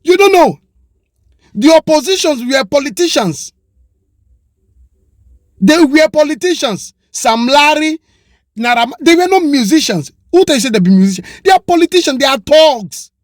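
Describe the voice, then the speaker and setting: A man's voice: very high-pitched, lively intonation, normal speed, long pauses, no echo, studio quality. A Nigerian man with a Pidgin English accent giving a passionate commentary, firing off rhetorical questions and slipping into Yoruba. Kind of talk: opinion commentary monologue.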